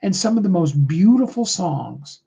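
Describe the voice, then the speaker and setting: A middle-aged man with a high tenor voice, giving a rambling, earnest motivational talk on a live call, full of fillers. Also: expressive, measured pace, creaky, warm tone, slight background noise.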